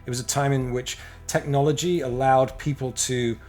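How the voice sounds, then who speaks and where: A middle-aged American man speaks loosely on a podcast, circling his thoughts with restarts and blunt, profane asides. His voice sits at an ordinary pitch, and faint music plays underneath. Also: fairly even intonation, moderate pace, a little mellow. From United States. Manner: rambling, with many restarts and self-corrections. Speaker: podcast guest. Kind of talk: casual interview chat.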